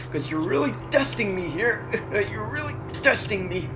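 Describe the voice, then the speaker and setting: A man's voice: high voice, animated, unhurried, noisy background. A man voicing a cruel middle-aged boss who mocks and bullies his worker, sneering and cackling, in a comedy sketch. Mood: cruel mocking. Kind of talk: comedy voice acting.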